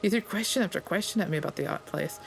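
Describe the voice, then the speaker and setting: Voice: medium pitch, fast talker, vocal fry, a little hiss or hum, natural voice. A player reading a plainspoken Scottish stable master. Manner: folksy and understated.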